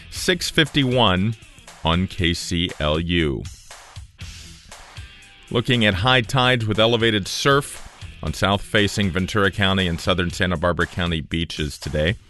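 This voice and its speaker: A man hosting a local morning radio show, reading scores, time checks and the weather in relaxed station patter. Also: low voice.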